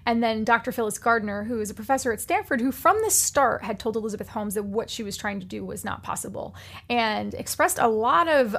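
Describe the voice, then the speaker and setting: A woman podcast host giving a chatty, animated recommendation with plenty of fillers. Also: fairly high pitch, creaky. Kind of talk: casual chat, recommendation segment.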